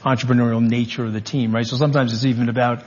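A man's voice: mid-range voice, level tone, rapid-fire, breathy edge, long reverb tail, muffled recording. A man interviewing a guest, asking casual questions with chatty, informal asides.

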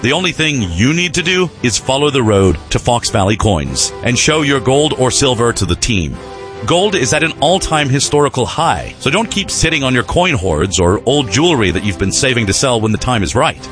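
A man's voice: sing-song, normal speed, fairly bright tone. Punchy male ad announcer over music. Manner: punchy salesman pitch. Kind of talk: scripted radio ad read.

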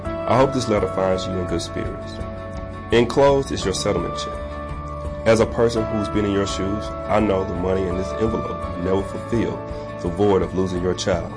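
A man reading a consoling letter in a scripted ad, solemn and steady. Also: deep voice, moderate pace. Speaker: voice actor in a law firm ad. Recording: radio ad with loud music.

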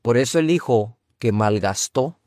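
A man's voice: medium pitch, lively intonation, measured pace, a little mellow, no room sound, clean studio sound. A man who hosts as a pastor, jumping in with short agreements and explaining points in a conversational tone. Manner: affirming, interjecting with short agreements. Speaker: host, pastor. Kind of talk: conversational interview.

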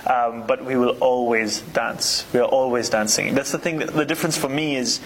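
A man from Uganda answering interview questions casually, relaxed and searching for words with many fillers. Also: mid-range voice, moderate pace, slight background noise, rough recording. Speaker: interview guest.

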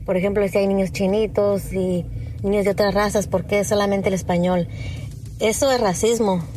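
A woman's voice: mid-range voice, fairly even intonation, moderate pace, dark tone, rough recording. Brief: female caller, argumentative, faint background noise. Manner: indignant, argumentative.

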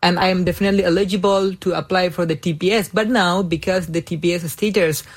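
A young man from Myanmar, giving earnest interview answers in measured, deliberate English. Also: sits high, fairly even intonation. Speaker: interview guest, refugee activist.

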